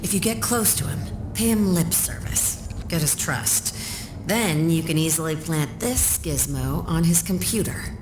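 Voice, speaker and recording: A woman voicing a tough, shrewd wasteland leader in a video game, with a brisk, commanding, streetwise manner. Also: sits low, lively intonation, noisy background. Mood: brisk, scheming. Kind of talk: video game cutscene acting. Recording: roomy echo, music behind.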